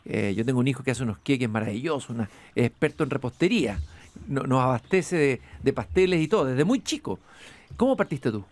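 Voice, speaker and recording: A man's voice: medium pitch, lively intonation, brisk, darkish tone. A man hosting an interview outdoors in a garden, hesitant and repeating himself, with easy laughs. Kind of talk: conversational interview.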